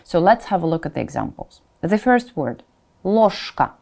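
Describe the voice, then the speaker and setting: A woman teacher giving a pronunciation lesson, explaining to learners in an encouraging way and sounding out example words deliberately. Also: lowish voice, big pitch swings, creaky, darkish tone. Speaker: Russian language teacher.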